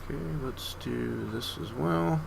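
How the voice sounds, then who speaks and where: A man streaming his 3D modeling work, talking through each step in an unhurried way with many fillers, hoarse and often clearing his throat. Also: medium pitch, noisy background. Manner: unhurried, filler-heavy thinking aloud. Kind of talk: casual screen-share tutorial.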